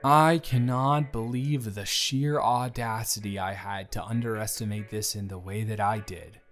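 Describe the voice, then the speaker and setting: A man's voice: lowish voice, steady tone, measured pace, a bit bright. A man playing a fond, chatty office boss in his early thirties in a romantic audio roleplay, speaking earnestly and playfully straight to the listener. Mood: admiring, teasing. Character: warm, earnest thirty-three-year-old male office boss, fond and encouraging. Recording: background music. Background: music.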